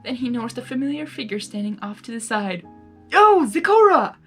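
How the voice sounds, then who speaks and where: Female amateur story reader: excitable, giggly, high-pitched, casual asides between narration, music bed. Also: quick pace, husky. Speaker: amateur fan fiction reader.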